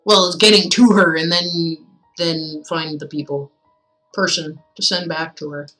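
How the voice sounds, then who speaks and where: A woman's voice: low-pitched, fairly even intonation, measured pace, fairly bright tone, faint music behind, poor audio. A woman streaming a game with casual, teasing, irreverent commentary, chatting as she plays.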